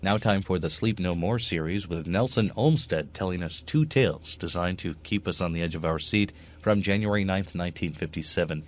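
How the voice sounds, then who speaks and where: Deep-voiced male radio host. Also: normal speed, hardly any pauses. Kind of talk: scripted radio introduction.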